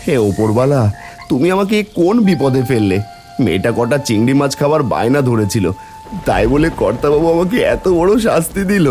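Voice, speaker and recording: A man's voice: mid-range voice, sing-song, fairly quick, a little mellow, faint music behind, lightly acted. A man playing a humble, hardworking poor village farmer and doting father in a cartoon folk tale, deferential and plaintive. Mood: plaintive lament. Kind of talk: Bengali cartoon fairy tale dubbing.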